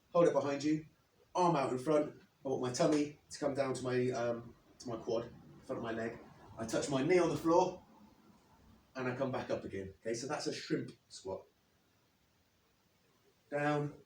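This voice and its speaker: A man in his thirties, slightly hoarse after losing his voice, explaining and demonstrating leg exercises step by step as a fitness coach. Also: mid-range voice, a little mellow, a little hiss or hum. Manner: plain, step-by-step coaching while demonstrating moves. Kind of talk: instructional workout demo. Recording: echoey, from a distance.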